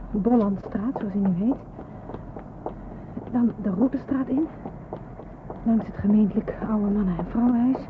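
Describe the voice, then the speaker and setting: Tinny narrow-band old radio sound, faint noise, actress as despairing young nurse, brooding, hesitant, radio play monologue. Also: female voice, mid-range voice, close to an everyday voice. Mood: tense, resolved. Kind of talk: radio drama acting with inner monologue. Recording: muffled phone-like old radio recording, clear hiss.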